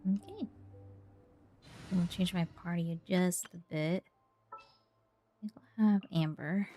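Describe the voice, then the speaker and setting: Mellow-voiced woman casually streaming a game. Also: lowish voice, slow, faint music behind. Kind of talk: casual gameplay commentary.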